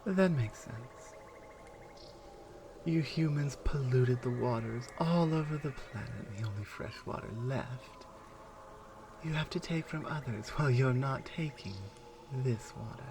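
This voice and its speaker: A woman playing a stern, proud Amazonian warrior with a captive, in a taunting, deliberate ASMR roleplay. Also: a bass voice, background noise. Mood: scornful. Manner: taunting, deliberate, hushed at times.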